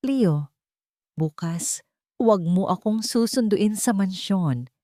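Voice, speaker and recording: A woman's voice: mid-range voice, animated, takes it slowly, dark tone, no room sound, studio quality. A woman voicing a guarded, wealthy older woman in an audio romance, restrained yet used to giving orders. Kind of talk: audio storybook dialogue.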